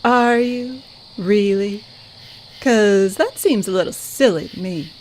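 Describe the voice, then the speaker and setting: A woman's voice: medium pitch, slow, a little mellow, slight background noise, close to an everyday voice. A woman playing a motherly, no-nonsense band director in an audio drama, folksy and reassuring. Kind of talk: audio drama character acting.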